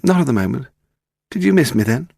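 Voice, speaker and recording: A man's voice: mid-range voice, big pitch swings, fairly quick, gravelly, dark tone, slightly rough, dry recording, studio quality, pitched above the performer's own voice, heavily acted. A man reading the part of a polite, persistent English woman, a writer's wife, in an audiobook mystery. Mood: wry, relaxed. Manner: poised, persevering.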